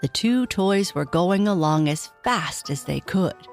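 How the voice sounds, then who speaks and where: A woman with a slightly low voice tells a children's bedtime story. She reads very slowly with lots of melodic movement, and music plays underneath. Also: expressive. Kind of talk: expressive scripted children's storytelling.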